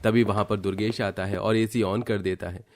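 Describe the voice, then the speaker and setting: A man giving scripted narration for an animated family story. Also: on the low side, moderate pace, slight background noise.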